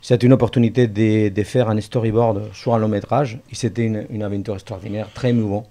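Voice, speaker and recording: A man's voice: fairly low pitch, fairly even intonation, brisk, warm tone. A man talking enthusiastically and at length as an interview guest, with rambling, slightly unidiomatic phrasing. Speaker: guest, animation artistic director. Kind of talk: enthusiastic conversational interview answers.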